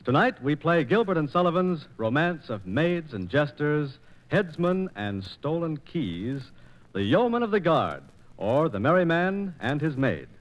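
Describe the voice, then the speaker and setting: A man's voice: fairly high pitch, sing-song, unhurried. A man announcing a scripted 1940s radio programme in a grandly formal, theatrical manner. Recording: muffled 1940s radio transcription disc.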